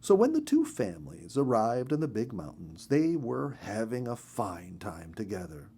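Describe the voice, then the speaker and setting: Expressive man narrating a children's story. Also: fairly low pitch, moderate pace, husky.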